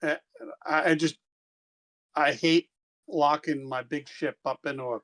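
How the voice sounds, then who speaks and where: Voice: mid-range voice, steady tone, unhurried, fairly bright tone. A player in a tabletop game, talking casually and asking questions.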